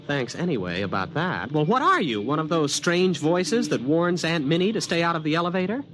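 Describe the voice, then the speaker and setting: An actor playing a wry, excitable young male college student in a 1950s radio drama, given to flustered stammering. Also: fairly high pitch, normal speed, slight background noise. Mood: sarcastic, uneasy. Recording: old radio recording with music.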